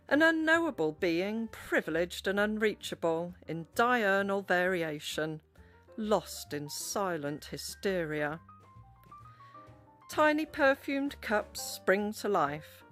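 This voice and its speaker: Slow, solemn woman reciting poetry. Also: medium pitch, a bit bright.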